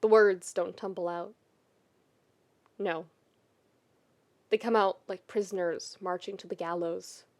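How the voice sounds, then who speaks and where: A woman reading fiction as a dramatic, emotive narrator, measured and with deliberate pauses. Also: mid-range voice. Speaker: podfic narrator.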